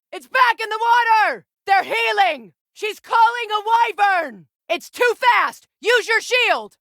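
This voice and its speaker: A fierce game warrior woman, really high bright voice, shouting short urgent battle callouts. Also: no echo, studio quality, exaggerated voice. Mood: urgent, commanding. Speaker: video game voice actor. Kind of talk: combat callouts for an action game.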